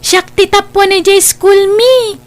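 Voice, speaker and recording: A woman voicing a cheerful, studious young granddaughter who speaks brightly and politely. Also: very high voice, steady tone, slow.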